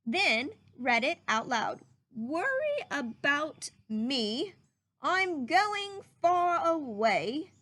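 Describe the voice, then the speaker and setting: A woman reads a children's book aloud with a high voice and an unhurried pace. Her delivery is animated and emphatic, as a teacher would read to young listeners.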